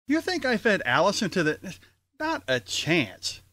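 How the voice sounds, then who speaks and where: A male host delivers scripted announcements and comic banter. His voice is on the high side and very animated in pitch. The sound is close and dry. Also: a little slow, no room sound.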